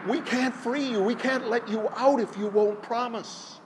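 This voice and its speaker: A man voicing a crowd of frightened townsfolk who wail and plead in a high voice. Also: fairly even intonation, unhurried, noisy background.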